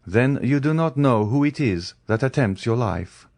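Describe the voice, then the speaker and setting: A grave storybook count, calm and fatherly, as a male reader plays him. He speaks in measured, solemn warnings, a little higher than the reader's own voice, and his pitch moves freely. The recording is close and dry. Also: no echo.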